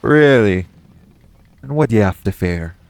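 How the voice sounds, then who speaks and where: Man playing deep-voiced, coaxing pirate captain. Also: lively intonation, a little slow, a little mellow, dry recording. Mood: persuasive. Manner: coaxing, self-assured, conversational. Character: shady, smooth-talking male pirate captain, roguish and persuasive. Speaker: audio roleplay voice actor. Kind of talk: second-person audio roleplay acting.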